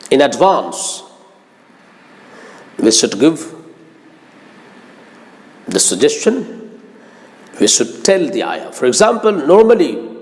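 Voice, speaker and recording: Slow, high-pitched man preaching. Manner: deliberate, emphatic, repeats phrases. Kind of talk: instructive religious lecture. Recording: long hall-like reverb.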